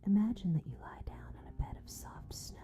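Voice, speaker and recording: A woman narrates a scripted guided sleep meditation in a breathy, unvoiced whisper, soothing, gentle and unhurried in the manner of ASMR. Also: medium pitch, lively intonation. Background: music.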